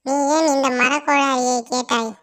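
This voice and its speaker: A woman voicing a kind female pond fairy in a children's cartoon story, speaking gently. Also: high voice, fairly even intonation, normal speed, clear, resonant tone. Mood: gentle.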